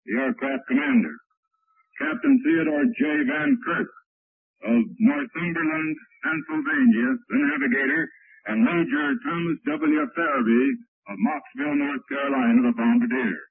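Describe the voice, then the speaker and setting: A man's voice: very high voice, big pitch swings, moderate pace, vocal fry, no echo. A man working as a military combat reporter, interviewing in a formal, scripted 1940s radio news style on a wire recorder. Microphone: wire recorder microphone. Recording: tinny, dry wire-recorded field broadcast.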